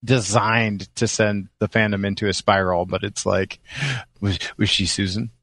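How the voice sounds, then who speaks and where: Low male podcast voice, very close and dry. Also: flat delivery, normal speed, a bit bright, no echo. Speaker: podcast co-host. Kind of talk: casual chat.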